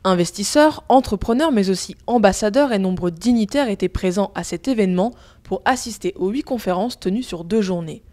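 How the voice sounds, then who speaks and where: Mellow woman narrating a news report. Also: medium pitch, a little fast, few pauses.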